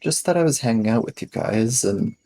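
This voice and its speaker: A man roleplaying a matter-of-fact, slightly defensive schoolboy in a 1980s sci-fi mystery tabletop game, husky and halting. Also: medium pitch, expressive, warm tone, lightly acted. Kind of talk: improvised in-character tabletop roleplay.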